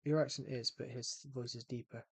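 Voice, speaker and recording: A man's voice: mid-range voice, fairly even intonation, fairly quick, vocal fry, breathy edge, no echo. A man chatting casually on a game livestream, rambling and often repeating and restarting his phrases. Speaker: gaming streamer. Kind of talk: casual livestream chat while playing.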